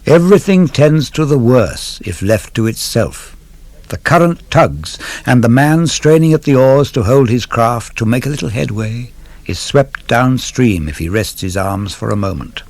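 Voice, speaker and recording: Man reciting verse, lively pitch, close dry sound. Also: no room sound. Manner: measured, deliberate, instructive delivery. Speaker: poetry reader. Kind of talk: dramatic verse recitation, scripted narration.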